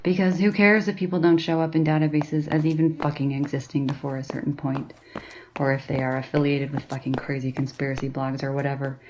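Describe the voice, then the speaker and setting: A woman's voice: rumbling low, level tone, a little fast, darkish tone. A woman playing an exasperated, suspicious librarian in a fiction podcast, sardonic, sweary and grumbling. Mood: bitter muttering. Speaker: actor in an audio drama. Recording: dull lo-fi sound with clear noise.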